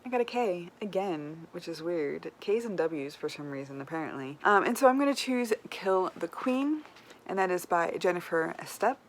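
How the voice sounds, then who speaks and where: A woman's voice: fairly low pitch, lively intonation, moderate pace, low-quality recording. A woman chatting casually as a book vlogger, with an informal, wryly self-deprecating manner. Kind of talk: casual chat to camera. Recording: clear background noise.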